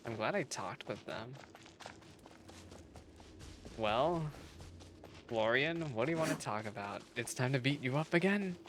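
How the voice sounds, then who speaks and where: A woman giving casual, excitable playthrough commentary as a game streamer, full of exclamations. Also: extremely low pitch, a little slow, pauses often. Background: game music.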